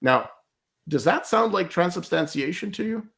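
A man's voice: high voice, lively intonation, moderate pace, gravelly, a bit bright. Emphatic man. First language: German. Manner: emphatic, argumentative, often repeats words as he searches. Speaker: guest commentator. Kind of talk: interview commentary.

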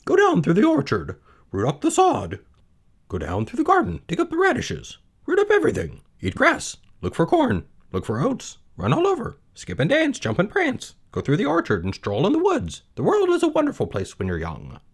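A man voicing a female goose who talks fast, excitable, and repeats her words. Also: high voice, breathy edge, pitched above the performer's own voice, full character voice. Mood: excited urging.

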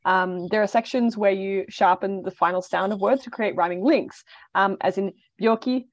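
A woman's voice: medium pitch, normal speed, no echo. A female podcast co-host with a mid-range voice. She reads out careful, prepared questions with frequent hesitations. Her audio is dry and dull, with no room sound. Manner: careful, many "um"s while reading prepared questions.

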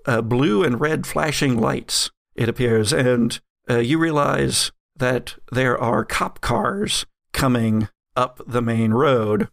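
A man's voice: mid-range voice, creaky. A man narrating as game master in a casual tabletop game, with many fillers and laughs.

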